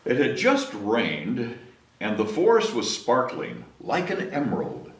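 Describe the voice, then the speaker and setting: Guy reading kids book, super swoopy expressive voice, bit far from mic, echoey. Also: mid-range voice, slow pace. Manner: expressive, chatty asides to young listeners. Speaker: storybook reader, city mayor. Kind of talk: animated children's story reading. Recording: roomy echo, distant mic, faint noise.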